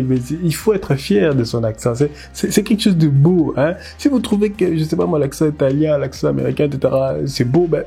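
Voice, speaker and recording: A man from the DR Congo, a language teacher, giving animated, emphatic commentary and repeating words as he thinks aloud. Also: a little high, breathy edge. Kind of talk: casual commentary video.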